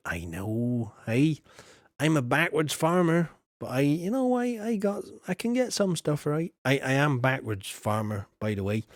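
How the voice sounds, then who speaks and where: A man's voice: mid-range voice, dry recording, studio quality. A man streaming a farming game, talking to chat in a put-on rustic farmer voice, rambling and joking. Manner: puts on a rustic backwards-farmer voice; jokey and rambling, greets chatters by name. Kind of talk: chatty in-character streaming with chat.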